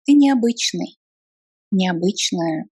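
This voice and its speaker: Woman, language teacher, breathy voice, very slow careful delivery, word-by-word repetition. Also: fairly low pitch, expressive, darkish tone. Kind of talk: slow phrase-by-phrase language drill.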